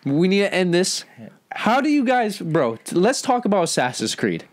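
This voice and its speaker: A man's voice: high voice, very animated, moderate pace. A man hosting a podcast discussion in casual, slangy speech, steering the others along. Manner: slangy, often addresses others as bro. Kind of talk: casual chat.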